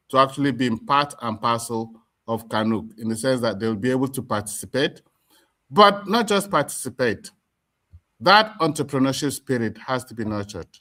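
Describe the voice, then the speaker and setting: A middle-aged Nigerian man with a Nigerian accent, speaking as an interview guest in a deliberate, emphatic way, repeating words as he forms his points. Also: mid-range voice, fairly bright tone. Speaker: community association chairman, interview guest. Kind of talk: explanatory interview talk.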